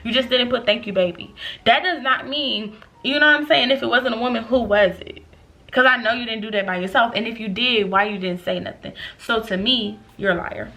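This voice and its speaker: A woman in her late twenties telling a storytime to her viewers in an animated, chatty way, calling them y'all. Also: on the high side, a little fast. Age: 20s. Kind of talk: casual storytime vlog.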